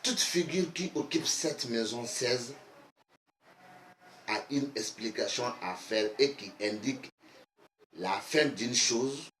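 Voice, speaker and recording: A man with a West African accent, a native Bambara speaker, explaining like a teacher in a deliberate, emphatic way with repetition. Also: medium pitch, fairly bright tone. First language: Bambara (Bamanankan). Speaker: geomancy teacher, online video host. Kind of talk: instructional explanation. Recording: echoey room, faint background noise.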